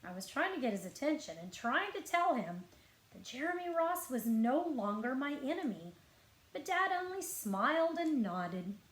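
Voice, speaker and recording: Animated high-voiced woman reading a storybook. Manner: animated, dramatic emphasis and pauses. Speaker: school librarian reading aloud. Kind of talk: expressive children's picture book read-aloud. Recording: roomy, echoing sound.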